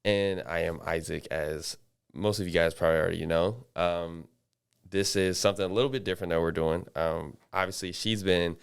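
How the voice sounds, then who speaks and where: A young man hosts a relaxed podcast alongside his co-host. His voice is low and smooth, with a clear, resonant tone. He rambles, restarting sentences as he goes. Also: normal speed. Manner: rambling, many restarts and fillers. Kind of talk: casual chat.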